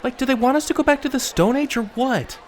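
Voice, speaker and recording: A man playing a melodramatic, excitable twelve-year-old schoolboy in a theatrical, exaggerated manner for an audio drama. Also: very high voice, fast talker, slight background noise. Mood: indignant. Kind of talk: scripted children's audio drama.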